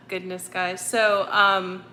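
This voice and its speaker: Brief: woman, slight Boston accent, home livestream host, unhurried and rambling, faint room hiss. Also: medium pitch, level tone, pushed and bright. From North Andover, Massachusetts. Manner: chatty, rambling, many ums and self-corrections. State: hot and sweaty. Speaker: medium hosting a livestream. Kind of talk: casual livestream chat with viewers. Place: apartment with broken air conditioning.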